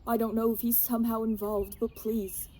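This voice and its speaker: A woman's voice: fairly high pitch, little pitch movement, moderate pace, mellow, lightly acted. A woman acting a worried wife and mother in an audio drama, pleading with restraint.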